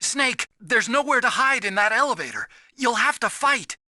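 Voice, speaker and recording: An earnest, boyish engineer from a video game, high and lively in pitch. He sounds soft-hearted and anxious, with a very sharp, dry sound. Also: moderate pace, no room sound, exaggerated voice. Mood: cautionary.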